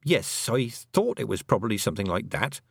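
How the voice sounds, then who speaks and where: A man's voice: on the low side, very animated, moderate pace, vocal fry, husky, no room sound, studio quality, exaggerated voice. A man voicing a young girl in a storybook reading, earnest, anxious and insistent, with urgent questions. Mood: uncertain.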